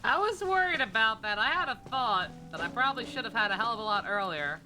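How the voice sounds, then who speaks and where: A woman's voice: fairly high pitch, lively intonation, fast talker, sharp tone, rough recording. A woman live streaming a video game, chatting casually as she plays. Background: game music.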